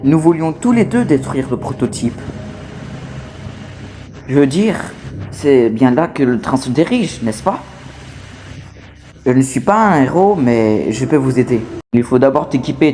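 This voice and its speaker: A man's voice: mid-range voice, noisy background. A man voicing a scrappy, talkative toy outcast, wary but scheming, in a fan dub of a horror game. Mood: resolute. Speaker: amateur voice actor dubbing a game character.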